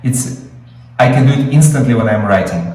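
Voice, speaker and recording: Russian-accented man, teaching screenwriting, halting with fillers, echoey distant room. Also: medium pitch, expressive, a little mellow. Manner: halting, many fillers and restarts while explaining. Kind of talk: improvised webinar teaching. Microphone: video call.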